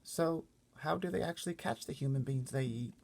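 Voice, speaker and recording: Curious, troubled storybook little girl acted by a man, pitched up, plain-spoken and questioning. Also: moderate pace, gravelly, darkish tone. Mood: anxious, curious. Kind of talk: storybook character voice.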